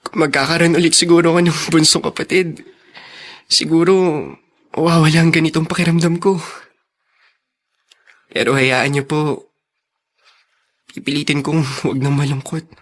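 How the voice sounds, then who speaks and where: A man's voice: fairly high pitch, flat delivery, normal speed, long pauses, fairly bright tone. A man playing a grieving, guilt-ridden young son in a radio drama, plaintive and earnest. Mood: wistful.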